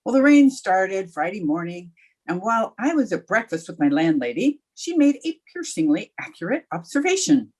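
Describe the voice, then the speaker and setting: A sharp, wry female English teacher, played by an actress in an old-time radio comedy. Her delivery is highly animated, swinging up and down in pitch as she lands dry, sardonic quips. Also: normal speed. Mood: wry narration, banter. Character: wry, quick-witted female high school English teacher.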